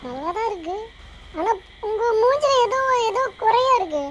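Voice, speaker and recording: Very high-pitched voice in game chat. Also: expressive, moderate pace, heavy background noise. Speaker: roleplay game player. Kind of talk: casual in-game roleplay chat.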